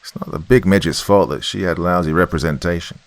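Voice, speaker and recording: Man playing a gruff, deep-voiced Nigerian sergeant. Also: a little fast, dry recording. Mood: scornful. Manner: barking, blunt. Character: muscular gruff Nigerian army sergeant, stern and scornful.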